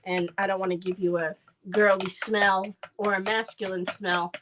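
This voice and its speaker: A congested woman talks through her craft work in a casual, rambling way, filling pauses with ums. Her voice sits at an ordinary middle pitch. She is streaming live from a home workshop. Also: little pitch movement, background noise. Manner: chatty and rambling with frequent ums. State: congested from a cold. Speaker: craft seller making herbal oil products. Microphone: streaming camera mic.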